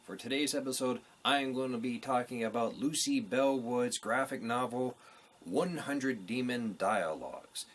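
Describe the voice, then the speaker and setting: A man presenting a scripted review to viewers as the host, in an earnest, measured delivery. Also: mid-range voice, fairly bright tone. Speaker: host of a book review show.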